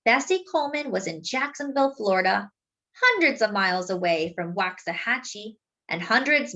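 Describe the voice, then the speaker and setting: A woman reading a picture book aloud as a storyteller, with deliberate, dramatic phrasing and words drawn out for emphasis. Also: a little high, fairly bright tone, low-quality recording. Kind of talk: expressive children's read-aloud narration.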